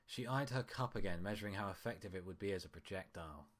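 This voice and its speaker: Male story narrator, fairly low register, reading scripted fiction. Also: quick pace, fairly bright tone.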